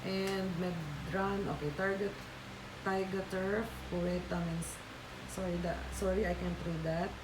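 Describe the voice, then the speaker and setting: A woman's voice: on the low side, fairly even intonation, a little slow, roomy sound. Filipina lady chatting on her livestream, filipino accent, lots of ums, noisy background. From Philippines. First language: Tagalog. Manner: chatty, reads out viewer comments, many fillers and restarts. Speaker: livestream host. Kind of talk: casual livestream chat with viewers.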